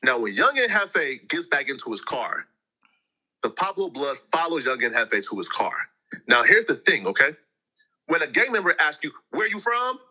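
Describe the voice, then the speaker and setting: A man with a very sharp, bright voice tells street stories with swooping pitch. His delivery is dramatic and slangy, and he acts out what people say. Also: very animated, normal speed, low-quality recording. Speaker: content creator. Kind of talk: animated street-news storytelling.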